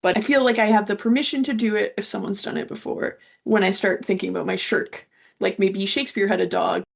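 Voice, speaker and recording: A woman's voice: medium pitch, steady tone, brisk, gravelly. A woman talking informally and thoughtfully as a writer in a casual panel conversation. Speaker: writer, incoming master's student in creative writing. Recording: dull lo-fi audio.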